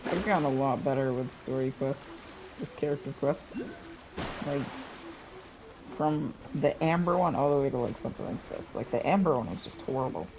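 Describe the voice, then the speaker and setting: A man's voice: mid-range voice, normal speed, background noise. A man giving casual, off-the-cuff live commentary as he streams a video game. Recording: game music behind the voice. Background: video game music.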